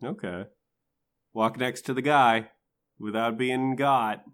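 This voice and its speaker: A man's voice: mid-range voice, steady tone, slow pace. A man co-hosting a podcast, chatting casually in a jokey, loose way. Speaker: podcast co-host. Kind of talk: casual bantering podcast chat.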